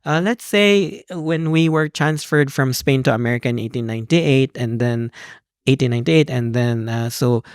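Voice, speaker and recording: Guest voice: gravelly young Filipino man, animated storyteller, dry close sound. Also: mid-range voice, moderate pace, no room sound. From Philippines. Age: young adult. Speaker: podcast guest, PhD student. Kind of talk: conversational interview.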